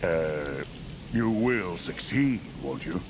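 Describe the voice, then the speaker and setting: A man's voice: low-pitched, expressive, slow, noisy background. A man playing a nervous, self-interested merchant in a fantasy video game, dramatic and a bit hesitant. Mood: salesmanlike, nervous. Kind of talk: video game character acting.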